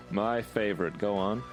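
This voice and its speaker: A man playing a stern, wry cyberpunk mercenary with a gruff, laconic, teasing manner. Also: on the low side, low-quality recording.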